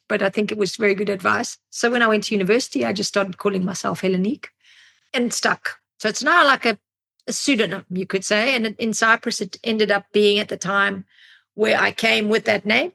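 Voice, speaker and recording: A woman, who grew up in Africa, talks as a podcast guest and tells stories in a thoughtful way, often pausing to find her words. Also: mid-range voice, gravelly, bright, forceful tone. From Zimbabwe, grew up in South Africa. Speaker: podcast guest, psychologist and drummer. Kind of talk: reflective interview storytelling.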